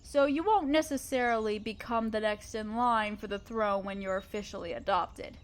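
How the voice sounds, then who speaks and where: A woman playing a gentle, motherly spider queen in a scripted audio roleplay, speaking tenderly and patiently to the listener. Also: medium pitch, normal speed, lightly acted. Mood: thoughtful, explaining. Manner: tender, patient, softly explaining.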